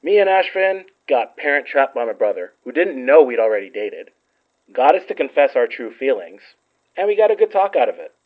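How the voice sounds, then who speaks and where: A man's voice: medium pitch, very animated, a little fast, narrow, tinny audio. An actor playing an affectionate, teasing young man in an audio drama, playful and reassuring. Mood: playful, candid. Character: Murphy, teasing, affectionate, open-hearted young friend and ex. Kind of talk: naturalistic audio drama acting.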